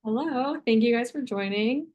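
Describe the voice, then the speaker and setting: A woman's voice: on the high side, moderate pace, muffled recording. A woman moderating an online panel, friendly, with frequent ums and uhs. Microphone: video call.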